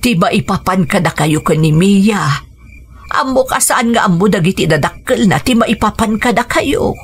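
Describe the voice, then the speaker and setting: A woman playing a caring, humble older mother in a radio drama, gentle, hesitant and fretful in manner. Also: medium pitch, lively intonation, normal speed, breathy edge. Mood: worried.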